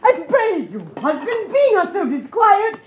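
A distressed young bride in a radio Shakespeare comedy. The voice is extremely high, pleading earnestly with theatrical diction. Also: female voice, big pitch swings, unhurried, dull audio, heavily acted. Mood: anxious pleading.

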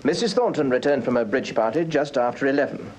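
An actor playing a brisk, businesslike police inspector in a radio crime drama, with a British accent and methodical, matter-of-fact delivery. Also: male voice, mid-range voice, animated, darkish tone, slight background noise, close to an everyday voice. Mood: factual, methodical. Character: brisk, businesslike male police inspector, deferential to his chief. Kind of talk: full-cast radio crime drama acting.

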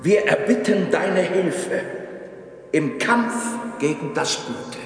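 A man playing a fanatical master of an evil sect in a horror radio drama, speaking solemnly and ceremonially in drawn-out incantations. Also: high-pitched, animated, full character voice. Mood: reverent. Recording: long echoing hall reverb. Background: prominent music.

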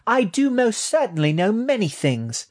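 Storybook baby rat, female, man performing: very high, thin, cheeky and proud, full of indignant questions. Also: sing-song, normal speed. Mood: pert, challenging. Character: tiny young female baby rat, feisty, proud, inquisitive. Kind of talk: children's audiobook character.

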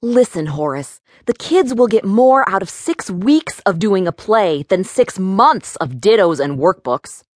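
Slow-spoken, spirited schoolteacher. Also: female voice, mid-range voice, very animated, no room sound, studio quality. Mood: exasperated. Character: spirited, defiant woman schoolteacher. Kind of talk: full-cast children's audiobook acting.

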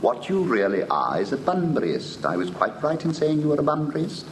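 An arch, swooping upper-class English idler. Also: male voice, fairly high pitch, wildly swooping pitch, brisk. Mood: gleeful, smug. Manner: arch, precise, theatrical diction. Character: flippant, teasing young gentleman of leisure, witty idler. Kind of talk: classic stage comedy audio drama, old-fashioned acting. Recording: old recording with faint hiss.

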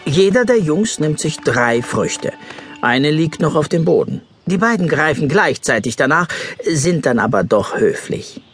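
Animated man narrating a children's story. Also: on the high side, a little slow, soft background music. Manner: expressive storytelling.

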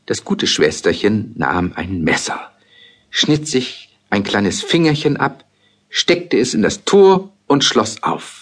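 A husky-voiced man narrating a fairy tale in a measured, storytelling manner. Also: lowish voice, very animated, fairly bright tone.

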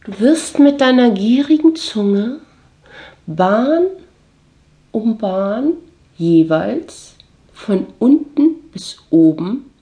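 A woman playing a haughty mistress in an intimate audio drama, commanding and condescending, often slipping into breathy whispers. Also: on the high side, animated, extremely slow, pauses often, warm tone, roomy sound, lo-fi sound, full character voice. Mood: commanding, sensual. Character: haughty dominatrix mistress commanding her servant. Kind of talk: scripted German audio drama monologue, intimate.

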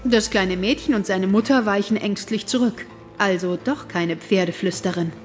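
A woman tells the story of a radio play in an even, calm way. Her voice sits at a middle pitch. Soft music plays under her words. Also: moderate pace. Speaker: narrator. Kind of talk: scripted narration of a radio play.

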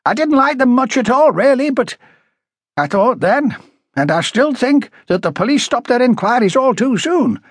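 Man voicing a high, rasping, prickly older woman. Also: big pitch swings, moderate pace, no room sound, clean studio sound, exaggerated voice. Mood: indignant. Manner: indignant, chatty, emphatic. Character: blunt, prickly older working-class woman, wary witness. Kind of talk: audiobook character dialogue.

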